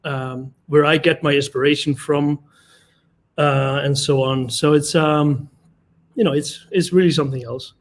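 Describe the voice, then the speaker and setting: Halting Icelandic-born man, chef guest. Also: fairly high pitch. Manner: halting, many fillers and restarts. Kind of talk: casual online talk.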